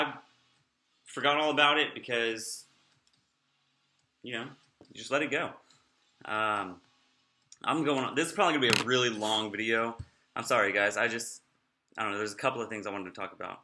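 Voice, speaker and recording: A man's voice: medium pitch, pushed and bright, roomy sound. A young man vlogging in a casual, rambling way, sounding nasal and slurring his words a little. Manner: rambling, off-topic, chatty.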